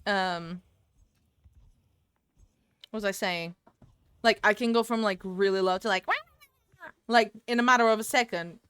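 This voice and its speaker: A woman's voice: mid-range voice, unhurried, pauses often, fairly bright tone, smooth, dry recording. A woman streaming a game from home into a desk microphone, chatting with viewers in a rambling, talkative way. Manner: chatty, rambling, answers chat aloud. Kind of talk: casual gaming stream chat.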